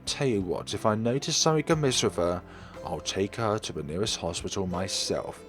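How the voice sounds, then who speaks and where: A man's voice: on the low side, animated, normal speed, fairly bright tone, soft background music. A man voicing a casual, impatient but reassuring male friend in a visual novel. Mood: firm, reassuring. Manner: casual, firm, certain.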